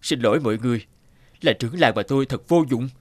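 An actor playing an apologetic, heavy-hearted village chief and father in an animated folk tale. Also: medium pitch, fast, dry recording, studio quality, natural voice. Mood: sorrowful, apologetic.

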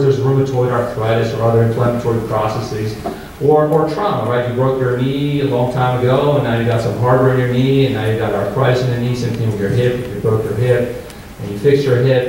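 Man, surgeon presenter, informal explanatory style, mid-pitched voice, heavy room echo, faint background noise. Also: darkish tone, recorded from a distance. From Albania. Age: 30s. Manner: conversational, often checks in with "right?". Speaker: orthopedic surgeon giving a talk. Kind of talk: informal medical presentation to a live audience. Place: hotel-style meeting room with seated audience. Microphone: handheld mic, picked up from far off by an audience camera.

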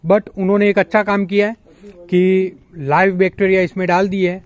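A young man, a dairy farmer, chatting casually in a vlog, explaining things with many pauses, recorded on a phone held at arm's length outdoors at a farm shed. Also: high voice, little pitch movement.

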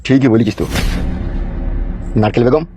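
A man's voice: mid-range voice, moderate pace, music in the background, lightly acted. A man voicing a coarse, blustering politician. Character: crude, blustering small-town male politician. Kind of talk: cartoon voice acting.

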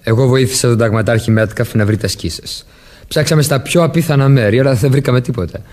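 A man's voice: medium pitch, a little mellow, lightly acted. An actor playing a flippant, contrary young man suspected of murder in a Greek radio play. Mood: matter-of-fact. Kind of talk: Greek radio theatre acting.

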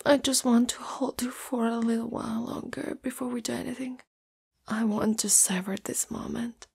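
A woman voicing a shy, caring spider monster girlfriend in a gentle, intimate, mostly whispered ASMR roleplay. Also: on the high side, steady tone, moderate pace, creaky, breathy edge, full character voice. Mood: loving, soft. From Italy.

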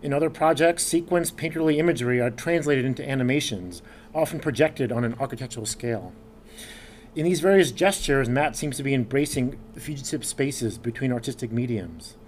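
A man's voice: medium pitch, lively intonation, fairly quick, slight background noise. A man, the program director, reading a formal introduction of a guest speaker from notes at a podium. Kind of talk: read-out introduction. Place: dark lecture hall.

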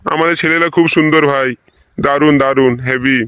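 Bossy, proud cartoon village teacher played by a man, quick-fire delivery, dry sound, insistent, rural West Bengal accent. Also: a little high, steady tone, pushed and bright, clear, resonant tone, no room sound. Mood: proud, boastful. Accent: rural West Bengal (Bankura). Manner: instructing, insistent, repetitive. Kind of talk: comic cartoon voice acting.

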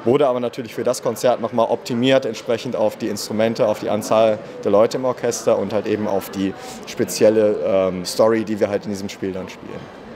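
A man's voice: lowish voice, level tone, a little fast, noisy background. A man in his thirties, a marketing manager and musician, answering interview questions in a chatty way with many fillers. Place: indoor room at a festival. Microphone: handheld mic held by interviewer.

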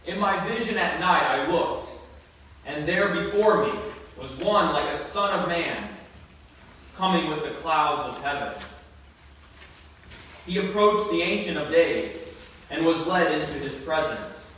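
A man's voice: on the high side, expressive, pushed and bright. A young man, a vicar, reading scripture formally and phrase by phrase in a church. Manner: measured phrase-by-phrase delivery. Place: church sanctuary at a lectern. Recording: echoey church from far off, faint noise.

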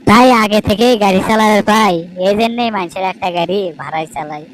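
A woman's voice: medium pitch, fast, a little hiss or hum, natural voice. A woman voicing a wronged, proud younger brother in an amateur cartoon, plaintive and declamatory. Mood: aggrieved.

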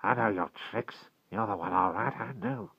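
A man voicing a bitter, frightened old tramp in a storybook, with a hoarse, broken voice and an urgent, plaintive delivery. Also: fairly low pitch, moderate pace, pushed and bright, dry recording, lo-fi sound, full character voice. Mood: suspicious, bitter.

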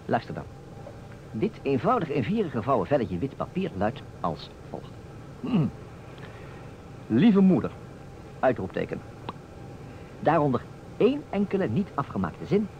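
Animated, theatrical man in a radio play. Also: medium pitch, measured pace, long pauses. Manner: theatrical, teasing, emphatic. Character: playful, insistent man staging a detective puzzle. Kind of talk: Dutch radio play acting. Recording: dull, noisy old radio recording.

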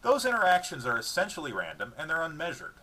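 A man's voice: fairly high pitch, wildly swooping pitch, fast, bright, forceful tone, slightly rough. A man reading his own book dramatically, moving between narration and dialogue in a wry, explanatory way.

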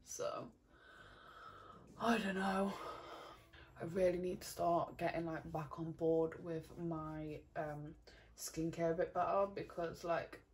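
A young woman, chatting casually to camera in her bedroom, rambling with lots of fillers and laughs. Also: lowish voice. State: slightly croaky morning voice. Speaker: vlogger. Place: bedroom at home, indoors. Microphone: camera on a desk in front.

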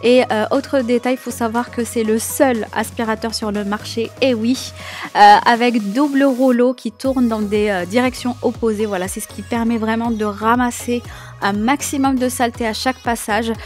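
Young woman, vlog voice-over, slightly high voice, eager and hesitant, soft music bed. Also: steady tone, moderate pace. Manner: chatty, enthusiastic product tips with frequent hesitations. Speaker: home cleaning vlogger. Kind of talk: voice-over narration of a cleaning video.

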